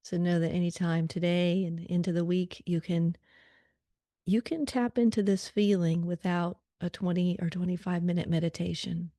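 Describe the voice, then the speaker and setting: A woman leading a guided meditation prayer in a calm, soothing manner, leaving gentle pauses between short phrases. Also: lowish voice, no room sound, clean studio sound.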